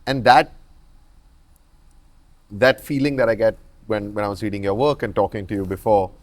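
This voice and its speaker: A man with a fairly low voice hosts a conversation with a guest. He backs up his guest with frequent short agreements. When he makes his own point, he feels his way through it with fillers and restarts. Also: moderate pace. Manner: frequent agreeing backchannels, hesitant phrasing. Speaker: podcast host. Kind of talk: conversational interview.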